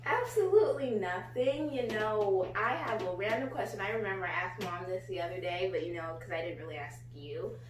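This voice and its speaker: A young adult woman with an American accent hosting a casual chat in an informal, halting way. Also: medium pitch, lively intonation, far from the mic. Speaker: vlog host, a daughter. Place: small living room, on a couch. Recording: roomy, off-mic, faint hum.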